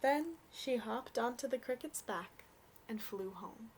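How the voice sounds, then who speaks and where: Young woman, children's story reader: high, lively intonation, gentle, takes her time. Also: a little slow, slight background noise, low-quality recording. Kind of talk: read-aloud storybook narration for children.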